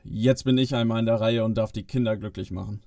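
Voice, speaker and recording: A man's voice: lowish voice, steady tone, fast talker, natural voice. A man playing a sly, vain cousin of Santa, eager and boastful, in a family Christmas audio play. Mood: gleeful.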